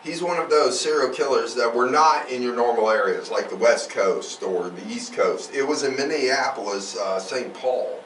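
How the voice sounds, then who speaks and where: A man's voice: medium pitch, normal speed, hardly any pauses, fairly bright tone. A man co-hosting a casual true-crime podcast, rambling along and repeating himself for emphasis. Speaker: podcast co-host. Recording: roomy, distant mic, faint noise.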